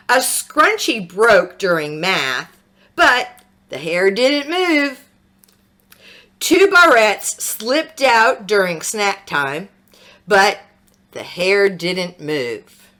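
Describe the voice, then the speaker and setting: A woman reading a picture book aloud as a children's story, with dramatic, expressive delivery. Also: medium pitch. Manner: slow, dramatic, animated storytelling with sound words.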